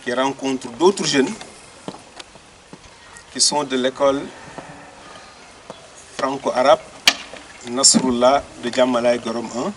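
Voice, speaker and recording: A man speaks in a soft welcoming voice at an outdoor school event. Traffic and outdoor ambience are clearly heard behind him, and his pitch sits at an ordinary middle level. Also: steady tone, takes it slowly, pauses often. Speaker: school director welcoming guests. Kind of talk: welcome speech.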